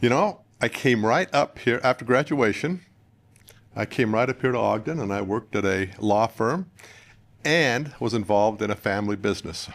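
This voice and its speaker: Low-voiced older American man explaining plainly. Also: animated, normal speed, gravelly. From northern Utah, United States. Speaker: political candidate, podcast guest. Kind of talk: conversational interview answers with anecdotes.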